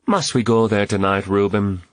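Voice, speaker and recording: A man's voice: lowish voice, moderate pace, no echo, lightly acted. A male narrator voicing a witty woman in an audiobook, arch and quipping as she playfully spars. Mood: mock protest. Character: witty woman, playfully sparring, teasing dinner guest.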